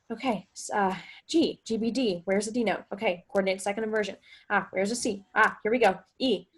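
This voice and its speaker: A woman guitar teacher explaining step by step in a chatty, think-aloud way, as in an instructional music lesson. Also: fairly high pitch.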